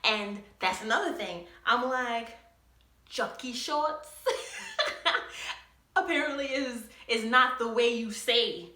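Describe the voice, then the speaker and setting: Bright, animated young woman storyteller. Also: a little high, takes it slowly, roomy sound. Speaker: vlogger. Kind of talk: storytime vlog.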